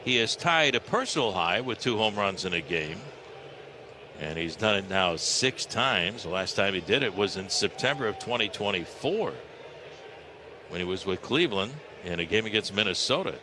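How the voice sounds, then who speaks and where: A man's voice: fairly low pitch, sing-song, moderate pace. An American man doing live baseball play-by-play on the radio, describing the action as an announcer. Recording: clearly noisy live ballpark radio broadcast.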